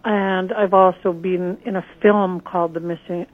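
This is a middle-aged woman from northern Canada, speaking as a candidate in a radio interview. Her voice sits slightly low and a bit husky. She talks earnestly and plainly and often restarts her phrases. The sound is narrow and tinny, like a phone call. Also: fairly even intonation, normal speed. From northern British Columbia, Canada. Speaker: political candidate.

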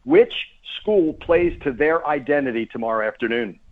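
A man's voice: mid-range voice, lively intonation, normal speed. A man speaking over a phone line as a guest sports announcer, giving casual, chatty and emphatic analysis in a radio interview. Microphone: phone call.

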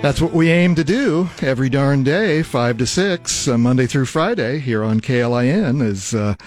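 An older American man hosting a radio talk show, speaking casually in a halting, reflective, rambling way on a close studio microphone. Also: medium pitch, expressive, a little mellow, faint music behind. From Nebraska, United States.

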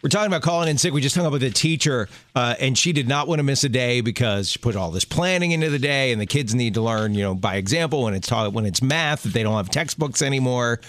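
A man's voice: mid-range voice, animated, fast talker, hardly any pauses, fairly bright tone. Easygoing male radio co-host. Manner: easygoing, chuckles often. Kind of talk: casual radio chat. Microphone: studio microphone.

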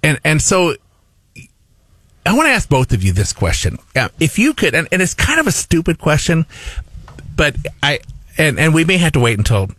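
A man's voice: on the high side, very animated, normal speed, pauses often, a bit bright, husky, no echo. A middle-aged man hosting a radio talk show, earnest and rambling, restarting his sentences often. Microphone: studio microphone.

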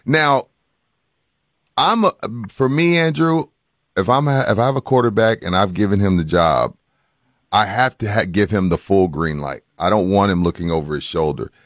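A man with a lowish voice co-hosts sports talk radio. He is conversational and opinionated, laying out his view while questioning, close and dry in the studio. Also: moderate pace, fairly bright tone, no room sound.

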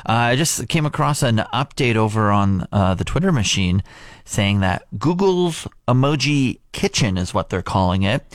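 A man's voice: mid-range voice, no echo, clean studio sound. A man in his thirties co-hosting a morning radio show, chatting casually and playfully, laughing often. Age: 30s. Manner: playful, laughs often, loose filler-heavy talk. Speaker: radio co-host.

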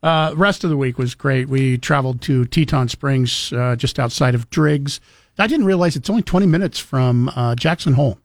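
A man's voice: mid-range voice, normal speed, no echo, clean studio sound. A man co-hosting a casual morning radio show, chatty and storytelling, laughing often. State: recovering from lost voice.

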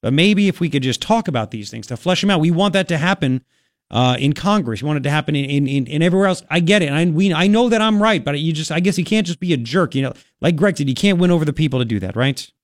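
A man's voice: fairly high pitch, lively intonation, fast talker, no echo, clean studio sound. A man with a Long Island, New York accent hosting opinionated political talk radio, emphatic and colloquial, repeating phrases for effect.

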